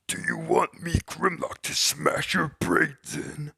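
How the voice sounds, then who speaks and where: A man playing a dim, brutish robot warrior who speaks haltingly in broken third person, with a raspy, gravelly voice. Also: fairly high pitch, wildly swooping pitch, sharp tone, no room sound, clean studio sound, heavily acted. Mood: threatening. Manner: halting, simple-minded.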